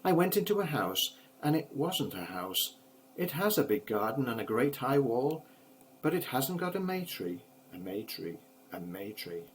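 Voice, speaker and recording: An older man reads children's poems in a fairly low voice with a measured, sing-song rhythm. His delivery is expressive, like a grandfather with a storybook. The homemade recording carries some background noise. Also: poor audio. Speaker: grandfather reading poems aloud. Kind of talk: storybook poetry reading.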